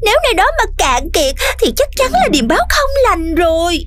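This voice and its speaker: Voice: extremely high pitch, big pitch swings, brisk, a bit bright, full character voice. An actor voicing a sassy, theatrical little talking fish from fairyland in a children's cartoon, indignant and complaining. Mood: earnest. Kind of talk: animated children's cartoon dubbing. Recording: music behind.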